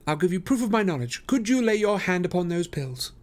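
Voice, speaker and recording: A man reading aloud and voicing a brilliant, eager male detective in a raised, excited tone. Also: a little fast. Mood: triumphant. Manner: excited, exclamatory, raised high voice.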